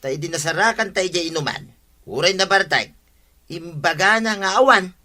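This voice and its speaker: A man's voice: sits high, normal speed, pushed and bright. A man acting a chatty village man in a comedy radio drama, with an Ilocano accent. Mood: inquiring.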